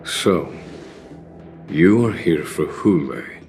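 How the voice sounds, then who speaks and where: An actor playing a calm, courteous, reassuring general in a video game. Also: male voice, very deep voice, drawn-out words, soft background music, exaggerated voice. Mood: calm, deliberate. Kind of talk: English game voice acting.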